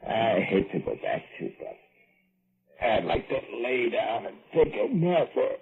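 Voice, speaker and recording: A man's voice: medium pitch, very animated, slow pace. An actor playing an idle, folksy young American working man who daydreams in colloquial speech, in an old-time radio drama. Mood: lazy, reluctant. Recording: tinny, band-limited old radio recording with music.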